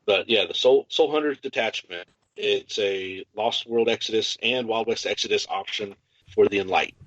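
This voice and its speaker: A man with a fairly low voice hosts a casual hobby podcast. He talks informally, as in relaxed conversation. The sound is dry and somewhat dull, with little room around him. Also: normal speed, no room sound. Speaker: podcast host. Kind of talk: casual hobby podcast chat about a miniatures game.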